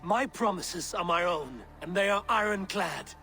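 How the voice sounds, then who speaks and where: An actor playing a steadfast, defiant Viking warrior in a video game, speaking firmly and solemnly. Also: male voice, sits high, animated, unhurried, creaky, bright, forceful tone, faint music behind, full character voice. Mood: resolute, defiant. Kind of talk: dramatic video game acting. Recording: faint game ambience behind.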